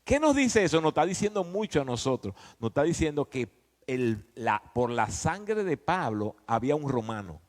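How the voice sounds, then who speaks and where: A man preaches in a fairly high, very animated voice. He teaches emphatically and conversationally, throwing questions out to his listeners. Long reverb from the hall trails his words. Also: normal speed. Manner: emphatic, didactic, often prompting listeners with questions.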